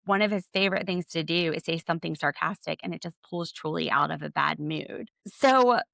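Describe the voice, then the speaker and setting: A woman recapping a show on her podcast, speaking with a lowish, gravelly voice full of vocal fry. Her tone is blunt and opinionated, with sarcastic jabs. The sound is dry and close, with no room around it. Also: fairly quick, fairly bright tone, no echo. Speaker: podcast host. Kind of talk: solo TV recap commentary.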